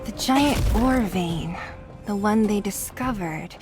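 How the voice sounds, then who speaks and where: A woman voice acting a brisk, blunt young fighter in a video game, curt and no-nonsense. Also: medium pitch, over music, close to an everyday voice. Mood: serious, brisk.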